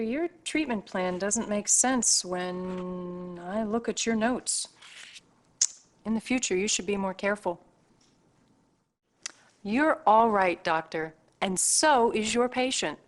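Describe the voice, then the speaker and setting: An actress playing a businesslike treatment-room nurse in a video game, instructing and advising in scripted lines. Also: female voice, medium pitch, lively intonation, slow pace. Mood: mildly reproving, then approving.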